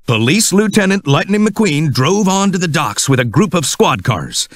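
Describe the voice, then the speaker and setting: A man's voice: a little high, big pitch swings, moderate pace. A man reading scripted narration for a children's read-along storybook.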